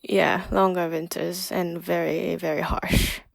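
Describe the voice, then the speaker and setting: A young woman speaks English as a guest, unhurried and giggly. Her voice has a gravelly edge, recorded dry and close. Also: fairly low pitch, level tone, dry recording. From Thailand. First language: Thai. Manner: giggly, frequent laughs and "like" fillers. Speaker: podcast guest. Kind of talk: casual interview chat.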